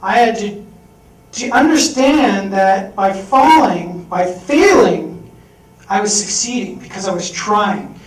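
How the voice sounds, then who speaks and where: A man's voice: sits high, expressive, slow pace. A young man on stage at a fundraising event, telling a candid personal story and acting out the voices of people he quotes. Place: event hall with audience. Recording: echoey hall recorded from far off.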